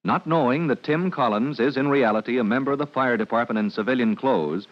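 A man's voice: mid-range voice, brisk. A man announcing an old-time radio serial, reading scripted narration in a dramatic, emphatic manner. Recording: dull vintage radio recording.

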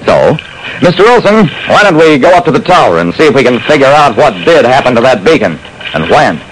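Animated radio-drama actor as investigator narrator. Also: male voice, mid-range voice, a little fast, natural voice. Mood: intent. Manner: theatrical, clipped storytelling. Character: dramatic male investigator telling his own adventure. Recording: noisy old radio broadcast.

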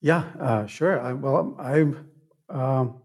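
A man from Argentina answers as an author guest in a casual interview. He speaks hesitantly, with many fillers and small laughs, at a mid pitch. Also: takes it slowly.

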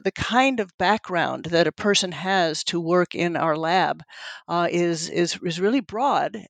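A woman's voice: fairly low pitch, dry recording. Earnest woman scientist, Italian native. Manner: earnest, thoughtful, searches for words. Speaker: neuroscientist, lab head. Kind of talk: interview guest, conversational.

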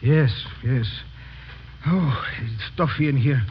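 An actor playing an earnest, excitable foreign police lieutenant in an old radio drama, speaking formally and repeating himself. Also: male voice, mid-range voice, takes it slowly, natural voice. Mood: uneasy, thoughtful. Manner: formal, careful phrasing, often repeating himself. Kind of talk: 1940s-50s radio drama acting. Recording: muffled, noisy old radio recording.

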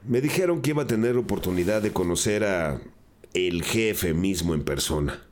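An actor playing a cornered gang drug dealer in a crime audio drama, defensive, earnest and pleading. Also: male voice, low voice, animated, moderate pace, darkish tone, slight background noise.

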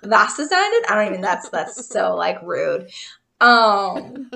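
A woman's voice: medium pitch, very animated, measured pace, gravelly, pushed and bright. Chatty woman telling a crime story. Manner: chatty, laughs often, stumbles over names. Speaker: podcast co-host telling a true crime story. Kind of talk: casual storytelling chat.

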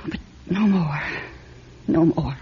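An actress playing an anxious, sleepless wealthy widow in a radio drama, fretful and halting, often repeating her words. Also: sits low, a little hiss or hum. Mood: weary, wistful. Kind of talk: old-style radio drama acting. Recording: dull, husky-sounding radio recording with music.

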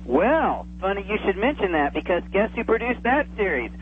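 A man's voice: high voice, big pitch swings, moderate pace. A middle-aged man chatting casually and enthusiastically as a guest on a radio show. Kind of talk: casual radio interview chat. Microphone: telephone. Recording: narrow tinny phone line with hiss.

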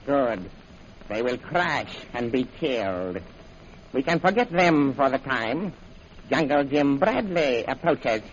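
A menacing bandit chief from a 1930s radio serial. He gives orders slowly and imperiously, with big swings of pitch. The sound is dull and hissy with age. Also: lively intonation. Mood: stern, scheming. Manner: commanding, menacing, deliberate, with villainous laughter. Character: ruthless, gloating male bandit chief plotting murder. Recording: muffled, noisy vintage radio recording.

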